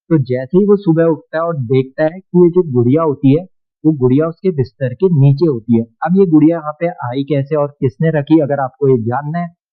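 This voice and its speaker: Casual male video narrator, Indian accent, lightly high pitch, muffled phone-like audio. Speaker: video host. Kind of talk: casual scripted YouTube countdown narration. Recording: muffled, narrow-band sound.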